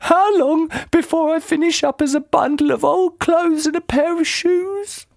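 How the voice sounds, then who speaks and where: A reader voicing a frightened, gullible old woman in a storybook, with a shrill, panicky squeal. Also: unhurried, creaky, no echo, studio quality, exaggerated voice. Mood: panicked. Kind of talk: storybook character voice.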